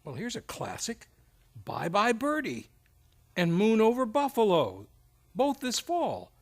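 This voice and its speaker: A man presenting a scripted radio promo. He takes his time, speaking in a high voice with lively, expressive swings. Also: slow pace. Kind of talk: scripted two-person theater advertisement.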